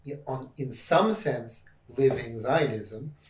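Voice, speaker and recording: A man asking questions as an interviewer in a casual, thoughtful, halting way. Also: medium pitch. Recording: roomy, echoey sound.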